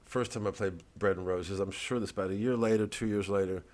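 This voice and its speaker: American comedian guy, kinda low voice, rambly casual chat to fans, sweary and keeps going off on tangents. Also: fairly even intonation, a little fast, darkish tone. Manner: rambling, digressive, profane. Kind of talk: casual vlog monologue to fans.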